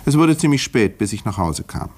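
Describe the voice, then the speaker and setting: An actor playing a measured, wry village doctor who narrates a murder mystery in a 1950s radio play. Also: male voice, medium pitch, animated, a little mellow, natural voice. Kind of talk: scripted first-person narration, 1950s radio acting.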